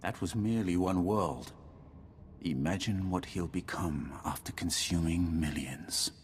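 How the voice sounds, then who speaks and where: Deep-voiced, solemn Sith lord. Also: male voice, slight background noise. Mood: ominous. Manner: solemn, ominous, measured declarations. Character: grave Sith lord defector, proud, coldly calculating seer. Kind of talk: dramatic video game acting. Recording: loud music behind the voice.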